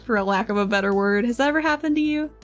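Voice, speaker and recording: A woman's voice: a little high, very fast, soft background music. A woman chatting casually as a player in a tabletop roleplaying game, informal and sweary.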